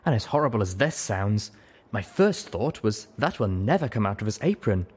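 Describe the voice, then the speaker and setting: A man's voice: on the low side, big pitch swings, normal speed, a little mellow, husky. A man voicing a vain, casually cold pony mother in a storybook, gossipy and melodramatic in her delivery. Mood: self-pitying, gossipy.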